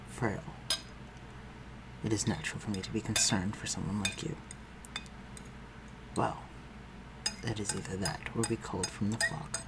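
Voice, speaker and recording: A man playing an aristocratic vampire master in a scripted audio roleplay, speaking formally and with measured, condescending concern for the listener. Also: lowish voice, creaky, heavy background noise. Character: aristocratic male vampire master, civil, protective, coolly superior.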